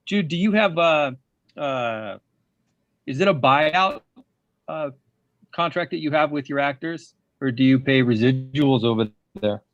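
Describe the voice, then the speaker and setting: A man's voice: medium pitch, expressive, a little slow, no echo. A man casually asking questions as an audience member, recorded with muffled sound.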